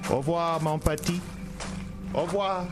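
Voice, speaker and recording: An actor playing a sinister, smooth-talking carnival showman who coaxes and draws out his lines. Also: on the high side, steady tone, lightly acted. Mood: sly. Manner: theatrical, coaxing, drawn-out lines. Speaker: carnival showman in a radio drama. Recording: music bed behind the voice.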